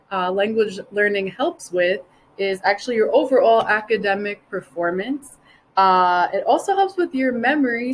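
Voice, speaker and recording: A woman's voice: mid-range voice, slight background noise, poor audio. A woman giving an informal educational talk in an enthusiastic, encouraging manner.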